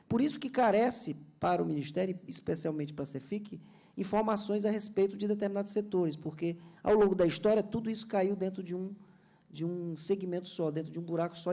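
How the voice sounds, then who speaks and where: Gravelly official from Ceará. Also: male voice, sits high, warm tone. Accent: Brazilian Portuguese, Ceará. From Ceará, Brazil. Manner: earnest, explanatory, many restarts and fillers. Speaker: government culture official on a panel. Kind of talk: seminar panel speech.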